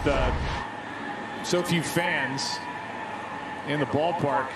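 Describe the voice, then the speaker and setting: A man's voice: medium pitch, very noisy. A man calling a live baseball game as the play-by-play announcer. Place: broadcast position at a sparsely filled ballpark. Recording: roomy, loud ballpark ambience behind.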